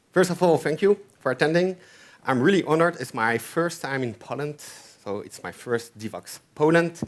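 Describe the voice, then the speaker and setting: A man with a French accent giving a chatty conference tech talk, often checking in with the audience with "okay?". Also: mid-range voice. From France. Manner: chatty, keeps asking "okay?". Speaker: conference speaker. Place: conference hall stage.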